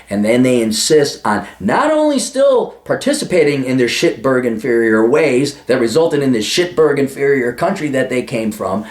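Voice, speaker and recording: A man giving crude, emphatic advice to a listener and swearing freely. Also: mid-range voice. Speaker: advice vlogger answering a listener letter. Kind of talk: blunt, profane advice monologue. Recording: roomy echo.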